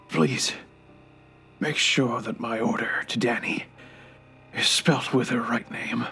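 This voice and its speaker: A man playing a bitter, regretful, dying old grandfather in an audio drama, with a husky, breathy voice and halting delivery. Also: medium pitch, pushed and bright, exaggerated voice. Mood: failing, pleading. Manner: slow, halting. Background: music.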